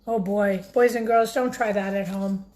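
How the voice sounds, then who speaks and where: A woman teacher reading a picture book aloud expressively, pausing to put questions directly to young listeners. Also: medium pitch, a little hiss or hum. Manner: expressive, addresses children directly.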